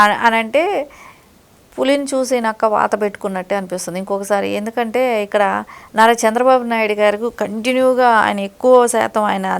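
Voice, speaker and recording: A woman's voice: mid-range voice, vocal fry. A woman giving critical, opinionated political commentary as an interview guest, in long conversational explanations.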